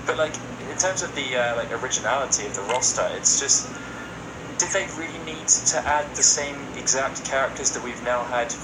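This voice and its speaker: A man's voice: medium pitch, fairly quick. Man podcasting through very heavy noise. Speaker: podcast host. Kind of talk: casual podcast discussion of games.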